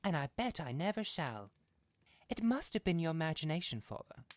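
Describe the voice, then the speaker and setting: A man's voice: a little high, big pitch swings, moderate pace, pitched above the performer's own voice. A man plays a playful grown son in an audiobook. He teases and scoffs, his voice lifted above the narrator's in a skeptical tone. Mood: doubtful. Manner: teasing, skeptical. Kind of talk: audiobook character voice.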